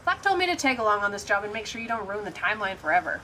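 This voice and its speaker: An actress playing a tough shapeshifter sidekick with a blunt, teasing manner in an audio drama. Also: female voice, mid-range voice, sing-song, racing, sharp tone, a little hiss or hum. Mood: blunt, scornful.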